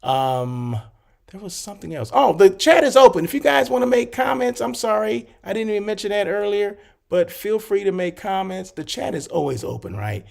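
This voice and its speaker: A man hosting a live show about hotels, talking casually and rambling with many fillers. He is slightly hoarse and keeps clearing his throat. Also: high-pitched, lively intonation, moderate pace. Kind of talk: casual livestream chat.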